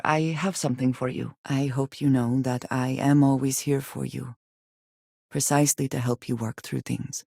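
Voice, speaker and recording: An actress playing a guarded, earnest female companion in a space adventure video game, with formal, measured diction. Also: a bass voice, mellow, dry recording. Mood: serious, earnest. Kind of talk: scripted video game character dialogue. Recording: very dry, close studio recording.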